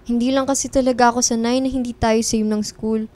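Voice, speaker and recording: Casting: woman to play feisty, sulky young female best friend, slightly high voice, bickering radio drama delivery. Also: little pitch movement, brisk, darkish tone, lightly acted. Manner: pouty, bickering, mock-threatening. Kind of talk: radio drama acting in casual banter.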